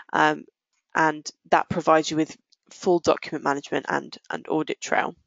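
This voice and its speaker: A woman presenting a software demo and answering questions as a webinar host, explaining things in business-like phrasing. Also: fairly low pitch, vocal fry, no echo, muffled recording.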